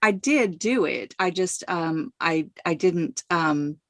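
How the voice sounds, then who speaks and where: A woman hosting a podcast, speaking slowly and hesitantly with many fillers. Her voice sits a little low, and it is recorded with a dry, close sound. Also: animated, dry recording. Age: young adult to middle-aged. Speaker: podcast host. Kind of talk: casual podcast conversation.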